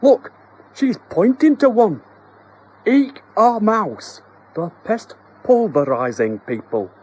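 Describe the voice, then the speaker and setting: A man's voice: high-pitched, animated, slow, darkish tone, slight background noise, pitched above the performer's own voice. A guy doing a fussy husband trying to act stern, bouncy voice. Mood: flustered. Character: flustered, pompous middle-aged husband.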